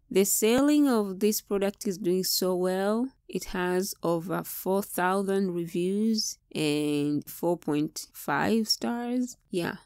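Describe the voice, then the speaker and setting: A young woman with a Tanzanian accent explains a small kitchen gadget in plain, step-by-step terms. Her voice sits a little low and carries a gravelly fry. The recording is close and dry. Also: a little mellow, no room sound. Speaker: product reviewer and Swahili teacher. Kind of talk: casual product review vlog.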